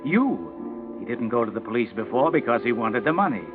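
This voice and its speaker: An actor voices a sardonic, omniscient man narrating a crime tale. He taunts dramatically in old radio mystery style. Music plays clearly behind the thin, narrow-band recording. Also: mid-range voice, sing-song, moderate pace, close to an everyday voice. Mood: urgent, needling. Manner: mocking, ominous, dramatic. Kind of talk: 1940s radio drama narration, second person.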